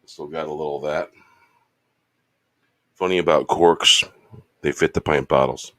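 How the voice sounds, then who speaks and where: A man hosting his show in a laid-back, rambling casual chat, slightly hoarse from losing his voice. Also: extremely low pitch, steady tone, measured pace, sharp tone, no echo, clean studio sound. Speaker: show host. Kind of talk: casual chat while drinking.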